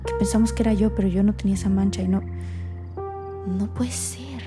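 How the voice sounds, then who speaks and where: A woman's voice: mid-range voice, fairly even intonation, fairly quick, music in the background, lightly acted. A woman playing an anxious, defensive daughter in a naturalistic audio drama, with a Mexican accent and a colloquial, hesitant, emotional delivery. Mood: confused, defensive. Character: anxious, defensive daughter unravelling family secrets.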